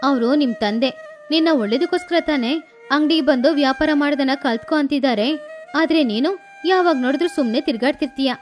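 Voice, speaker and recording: A woman's voice: high voice, quick pace, lightly acted. A woman playing a fussing, protective mother. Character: middle-aged mother and wife, protective and scolding. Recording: faint background music.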